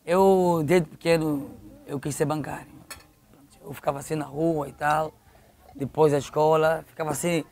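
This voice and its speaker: A man's voice: a little high, steady tone, normal speed, gravelly, darkish tone. A middle-aged man with an Angolan accent telling stories conversationally as an interview guest, repeating phrases for emphasis. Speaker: interview guest, local football official.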